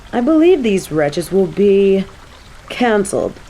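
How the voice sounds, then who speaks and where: A woman's voice: mid-range voice, mellow, heavy reverb, a little hiss or hum, full character voice, poor audio. A woman voicing a haughty fallen angel in an audio drama, imperious and pompous with archaic formal diction, yet fond of her demon companion. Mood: scornful, amused.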